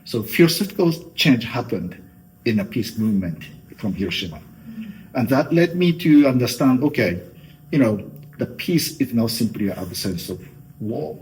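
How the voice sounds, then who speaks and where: A man's voice: mid-range voice, big pitch swings, normal speed, darkish tone, slight background noise, poor audio. A middle-aged man from Japan with a Japanese accent, a guest giving thoughtful interview answers with many fillers like "you know".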